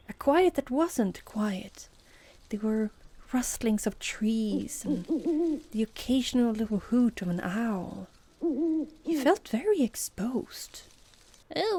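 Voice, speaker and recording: Brief: woman narrating a bedtime story, slow, soft and hushed, faint music under. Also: fairly high pitch. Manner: soft, hushed, often whispering. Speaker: streamer telling a story.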